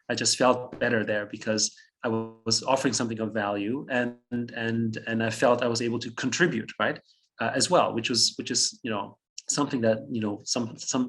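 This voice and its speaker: A man in his fifties who grew up in Austria, German his first language, speaks as an interview guest. His voice has a gravelly, fry-tinged edge at a middling pitch. He reflects out loud, chuckles now and then and often restarts his phrases. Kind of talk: conversational interview storytelling.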